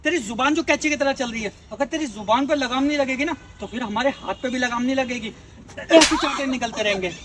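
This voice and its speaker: A man's voice: very high-pitched, a little fast, distant mic, noisy background. A man playing an arrogant, abusive company employee in a melodrama skit, sneering, taunting and threatening. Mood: angry, threatening. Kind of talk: amateur Hindi melodrama skit acting.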